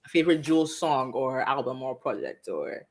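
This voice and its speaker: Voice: mid-range voice, animated, normal speed. A podcast host from Africa leading a casual interview, talking thoughtfully with frequent restarts. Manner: thoughtful, many restarts and fillers.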